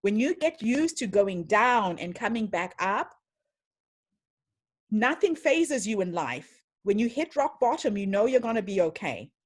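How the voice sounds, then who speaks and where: A woman's voice: medium pitch, sing-song, a little slow. A woman giving an online lecture and answering questions in an enthusiastic, storytelling manner over a video call from her home. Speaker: speaker.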